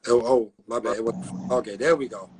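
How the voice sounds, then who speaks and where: A man hosting a live stream, talking in a laid-back casual way and backing his guest up with steady agreement. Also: mid-range voice, brisk, slight background noise.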